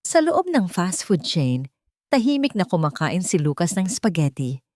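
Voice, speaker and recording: A woman's voice: on the low side, big pitch swings, measured pace, darkish tone, no room sound, clean studio sound. A woman reading a scripted story as a dramatic narrator.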